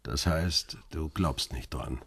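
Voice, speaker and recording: Deep husky guy telling a crime story in first person, brooding, dry close sound. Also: slow pace, fairly bright tone, no echo. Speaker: narrator and lead character. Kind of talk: first-person radio play narration.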